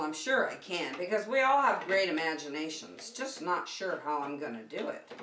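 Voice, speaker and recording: Very animated woman teaching young children, echoey. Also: medium pitch, slight background noise. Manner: animated, sing-song, encouraging, simple phrasing for small children. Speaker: preschool circle time teacher and host. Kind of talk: children's lesson with craft demonstration. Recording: echoey room, distant microphone.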